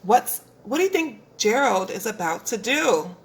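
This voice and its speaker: A woman preschool teacher reading a storybook aloud to young children, expressive and deliberate. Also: a little high, husky. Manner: expressive, deliberate, asks young listeners questions.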